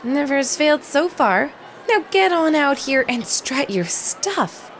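A woman's voice: high voice, very animated, unhurried, a little hiss or hum, heavily acted. A woman voicing a pushy, bubbly young woman who is bossy and upbeat.

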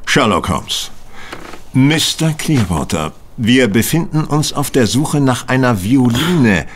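An actor playing a brisk, composed gentleman detective with an authoritative, dry, precise manner in a German audio drama. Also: medium pitch, animated, slight background noise. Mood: composed, inquiring.

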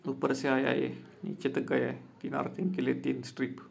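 A man's voice: medium pitch, completely flat delivery, a little fast, gravelly. A man, plainly walking viewers through a job on an outdoor rooftop and recording on a handheld phone. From Maharashtra, India. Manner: plain, repetitive, addresses viewers as friends. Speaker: solar installer and business owner. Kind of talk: walkthrough video commentary.

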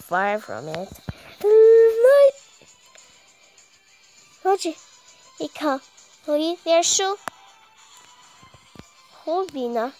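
A very high, youthful female voice reads visual novel text aloud at a slow pace. She is dramatic but halting, often repeating and tripping on words, with music playing behind her. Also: dark tone, poor audio. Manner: halting, stumbling over words and repeating them.

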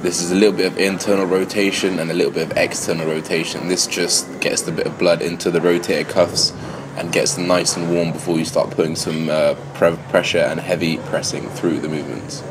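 A man's voice: low-pitched, little pitch movement, a little fast, hardly any pauses, noisy background. A young man giving casual workout tips in a fitness vlog, upbeat and coaching, with some fillers. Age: young adult. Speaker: fitness vlogger.

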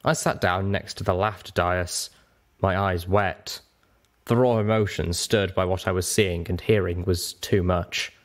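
A man reading a first-person story aloud as an expressive narrator. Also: low-pitched, a little slow. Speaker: audiobook reader.

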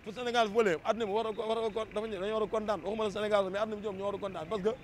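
A high-voiced Senegalese man gives his views in a street interview, speaking fast and emphatically. He repeats words as he presses his point. City street noise is clearly heard around him. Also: little pitch movement. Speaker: street interviewee, football fan. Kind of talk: impassioned street interview opinion. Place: busy city street, outdoors. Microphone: handheld interview microphone. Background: traffic and street ambience.

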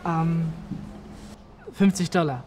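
A man's voice: on the high side, slow pace, a little mellow. An actor plays an awkward young male detective in a naturalistic film scene. He talks politely and earnestly, with hesitant, stumbling phrasing, over some background noise. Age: young adult.

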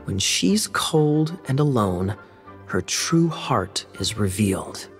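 A charming, wry young knight captain; easy teasing delivery, faint game music behind. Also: male voice, lowish voice, lively intonation, slow. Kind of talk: game dialogue.

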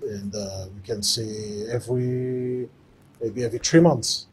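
A man from Cameroon in his forties with a French accent, speaking in halting, simple English with many fillers as an interview guest in a boxing gym. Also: mid-range voice, steady tone, slightly rough, low-quality recording. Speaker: guest, former fighter. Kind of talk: casual interview. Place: boxing gym, seated in ring.

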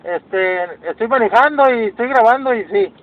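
Excitable man, very high voice, CB operator in a vehicle, dull lo-fi sound. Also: normal speed. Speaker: amateur CB radio operator recording a video. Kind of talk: casual CB radio chat. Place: inside a moving vehicle. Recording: muffled lo-fi phone recording inside vehicle.

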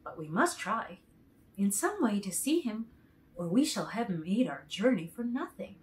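A woman voicing an earnest, determined young girl traveller in a gentle storybook read-aloud. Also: mid-range voice, sing-song, a little slow, low-quality recording.

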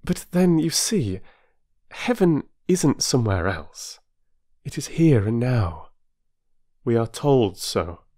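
Reader doing a soft-spoken, earnest, dutiful woman who explains slowly, in a dry close read. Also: animated, no room sound, lightly acted. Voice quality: gentle low voice. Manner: patient, slightly superior explaining. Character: gentle, earnest, dutiful middle-aged woman. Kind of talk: audiobook character voice.

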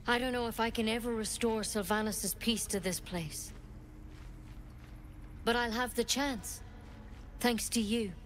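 A sincere, thankful young druid from a fantasy video game, voiced by an actress, a little high in pitch. Faint outdoor noise hums behind her. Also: steady tone, unhurried, long pauses, natural voice.

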